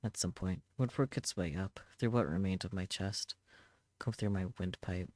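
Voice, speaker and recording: A man voicing a tormented, despairing young man in a visual novel, with a hushed, drained delivery. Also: low-pitched, moderate pace, dry recording, close to an everyday voice. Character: tormented young man narrating his own agony, despairing.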